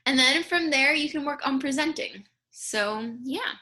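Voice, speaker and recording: A woman's voice: high-pitched, measured pace, bright, forceful tone. A young American woman casually talking through an instructional slideshow, explaining things informally with little asides. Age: young adult. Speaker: student speech team officer giving a tutorial. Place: indoors in a room at home, on a webcam.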